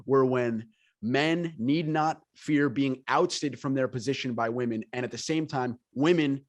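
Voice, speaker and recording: A man speaks as a podcast host in a medium-pitched voice. He comments conversationally and emphatically, repeating phrases for stress. The sound is dry, with little room echo. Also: unhurried.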